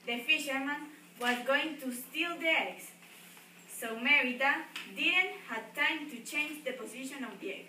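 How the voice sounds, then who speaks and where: A woman's voice: sits high, unhurried, bright, forceful tone. A young woman reading a story aloud to schoolchildren in a classroom, recorded from across the room. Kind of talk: read-aloud story with questions to listeners. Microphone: distant camera across the room. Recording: echoey room, distant mic, background noise.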